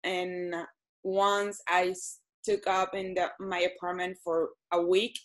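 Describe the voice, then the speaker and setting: A woman, answering interview questions hesitantly with many pauses and ums. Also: fairly low pitch, level tone. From Venezuela. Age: 30s. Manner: hesitant, frequent ums and restarts. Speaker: guest.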